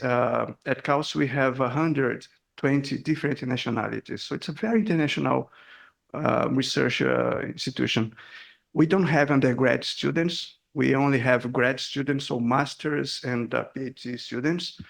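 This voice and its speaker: Gravelly, halting Brazilian-born man. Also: mid-range voice. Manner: hesitant, many fillers, chuckles at himself. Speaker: guest speaker. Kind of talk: academic presentation. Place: seminar hall.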